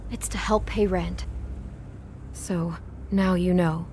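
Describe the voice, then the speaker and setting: A woman's voice: fairly low pitch, steady tone, takes it slowly, darkish tone, slight background noise. An actress playing a guarded, sassy young female front-desk clerk in a video game, folksy and a little hesitant. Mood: flustered, confiding. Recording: music under the voice.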